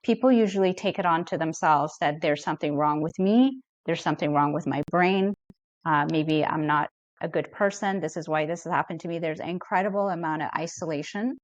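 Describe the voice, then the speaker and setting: A middle-aged woman from Ethiopia, a therapist speaking as a podcast guest in an earnest, reflective and measured way. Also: low-pitched, no echo, lo-fi sound. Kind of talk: podcast interview.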